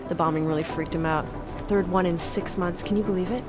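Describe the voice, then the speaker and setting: A woman's voice: lowish voice, steady tone, a little fast, music in the background, natural voice. A woman playing a caring, anxious agency colleague in a video game cutscene. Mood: shaken, friendly.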